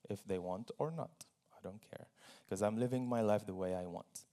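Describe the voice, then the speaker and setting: A man's voice: fairly low pitch, normal speed, dark tone. A man from Syria speaking on stage as a guest at a forum, telling his personal story earnestly, with small laughs and repeated phrases.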